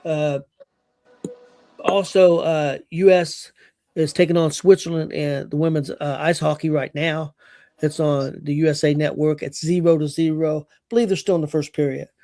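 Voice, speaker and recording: An easygoing man co-hosting a sports chat, hesitant and full of fillers as he passes along updates. His voice is slightly high and sounds dry, with no room echo. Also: fairly even intonation, moderate pace, dark tone. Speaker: co-host.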